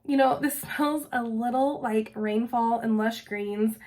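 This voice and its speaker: A woman with a fairly high voice talks through a casual review, enthusiastic and unhurried. Her voice still sounds slightly off as she recovers from losing it. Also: darkish tone. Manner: chatty, enthusiastic, describes scents slowly. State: recovering voice after illness. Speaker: vlogger reviewing scented wax.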